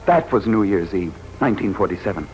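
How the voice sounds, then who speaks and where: An actor playing a bewildered, distressed, hungover reporter with amnesia in an American 1940s radio drama, questioning everything in disbelief. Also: male voice, deep voice, expressive, fairly quick. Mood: stunned, distressed. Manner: agitated, incredulous questioning. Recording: muffled 1940s radio recording with music.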